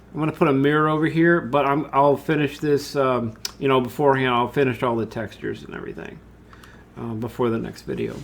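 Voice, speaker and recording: A man giving an informal screen-share software tutorial, talking through his steps and thinking aloud as he works. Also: a little high. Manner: casual, thinking aloud, addresses viewers as guys. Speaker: tutorial host.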